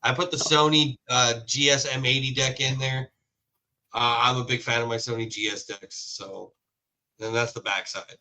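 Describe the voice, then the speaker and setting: A man's voice: medium pitch, flat delivery, moderate pace, pushed and bright. A man from Hawaii, a podcast guest chatting casually in a laid-back, talkative way about his truck build. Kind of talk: casual chat.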